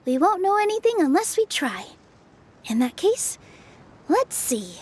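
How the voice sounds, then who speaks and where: An actress voicing a gentle, earnest young woman dancer in a video game, with soft, sweet, polite delivery. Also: very high voice, very animated, measured pace, a little hiss or hum, exaggerated voice. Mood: thoughtful, gentle. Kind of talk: video game voice acting, English dub. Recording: light background music.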